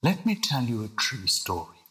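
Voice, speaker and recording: A man reading a storybook as a patient, reassuring father, gently explaining things with faint exasperation. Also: mid-range voice, big pitch swings, moderate pace. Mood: gentle teaching.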